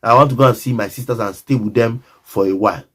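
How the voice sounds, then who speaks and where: A man's voice: mid-range voice, fast, fairly bright tone. A Nigerian man telling a personal story in a casual monologue, emphatic and repetitive, often speaking straight to the listener.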